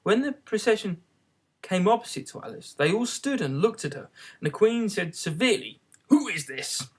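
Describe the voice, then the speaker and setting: Man reading a storybook with lively intonation. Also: high-pitched, normal speed, husky. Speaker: audiobook reader. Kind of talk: expressive storybook narration.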